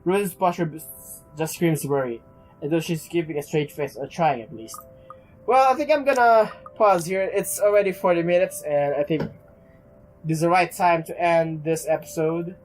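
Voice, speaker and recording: A man with a fairly high voice reads a visual novel aloud as a gaming host. His delivery is halting, with stumbles and repeated words, and soft music plays behind him. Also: low-quality recording. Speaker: let's play host. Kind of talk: reading visual novel narration aloud with casual commentary.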